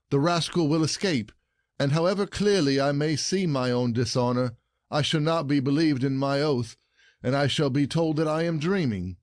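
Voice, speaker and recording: An actor playing an aggrieved, scheming husband in a classic stage farce, declaiming formally and indignantly. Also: male voice, mid-range voice, darkish tone, no echo, clean studio sound, natural voice. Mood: brooding. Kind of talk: classic comedy audio play acting.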